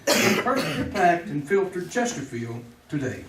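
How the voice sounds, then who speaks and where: An American man giving an emphatic, polished advertising pitch as a 1950s radio commercial announcer. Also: sing-song, unhurried, far from the mic. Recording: echoey, faintly noisy old radio recording.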